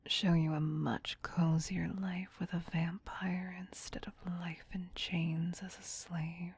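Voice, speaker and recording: A woman voicing a doting, possessive vampire queen in a breathy whisper, coaxing slowly and tenderly for an ASMR roleplay. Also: lowish voice, flat delivery, a little mellow, heavily acted. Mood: tender, soothing. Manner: slow, tender, coaxing endearments. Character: doting, possessive vampire queen soothing her human pet.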